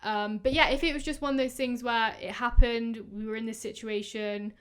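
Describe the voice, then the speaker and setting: A woman in her twenties hosting a podcast, giving casual, rambling advice full of fillers, her voice going hoarse. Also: on the high side, fairly even intonation, normal speed. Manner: chatty, rambling, many fillers. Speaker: podcast host. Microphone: podcast microphone.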